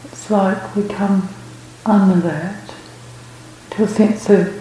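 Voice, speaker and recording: A woman leading a guided meditation as a teacher, speaking gently and unhurriedly in short phrases with long pauses. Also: medium pitch, steady tone. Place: reverberant indoor room at a retreat. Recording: very echoey room, faint background noise.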